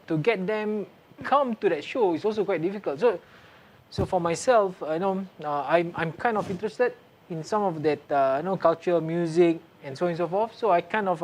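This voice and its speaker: A man's voice: high voice, darkish tone. A middle-aged Singaporean man with a Singaporean English accent, talking hesitantly on a community panel with many fillers. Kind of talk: casual panel chat.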